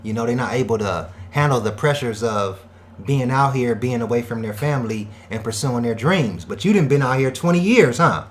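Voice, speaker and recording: A man hosting an online radio show, talking in an energetic, slangy hype style with catchphrases. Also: mid-range voice, normal speed. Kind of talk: hype radio host patter.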